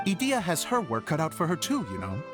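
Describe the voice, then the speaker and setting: Casting: an affable dreamer of a stage director for a game; lightish high pitch, expressive swings, faint music behind. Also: male voice, a little fast. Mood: wry, grateful. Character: affable, self-effacing male theater director, passionate dreamer. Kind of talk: English video game voice acting.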